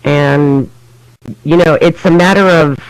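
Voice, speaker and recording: Male podcast panelist, fairly high voice, informal explainer, very dry close sound. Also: steady tone, slow pace, clean tone, no room sound, low-quality recording.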